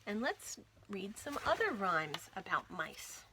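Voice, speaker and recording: A woman hosting a children's storytime, reading stories and nursery rhymes aloud in an expressive, clearly enunciated way for young listeners. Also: mid-range voice, slow, noisy background. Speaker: children's storytime host at a library.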